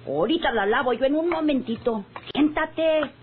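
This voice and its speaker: A chatty Mexican working woman in an old radio serial. Her voice is a bit high, with a folksy, unschooled, good-natured way of talking. The recording is phone-thin. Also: animated, moderate pace, narrow, tinny audio, background noise. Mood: friendly, chatty. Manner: folksy, stumbles on big words. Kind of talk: old radio drama acting.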